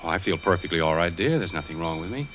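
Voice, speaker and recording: A man's voice: low voice, lively intonation, quick pace, a little hiss or hum. A man playing a weary, uneasy husband and fire investigator in a 1940s radio drama. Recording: dull old radio recording with music.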